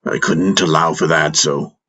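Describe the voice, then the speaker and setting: A man voicing a wise, calm old master in an audiobook, speaking in a measured, gently teasing way. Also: very deep voice, full character voice. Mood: calm, wry. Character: wise, calm, wry old male master caring for a patient.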